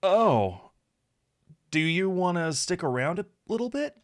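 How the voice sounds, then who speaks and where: A man voicing an eager, naive young male cat adventurer, earnest and excitable, in a visual novel. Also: high-pitched, measured pace, voice pushed up. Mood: hopeful. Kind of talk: visual novel character read-aloud.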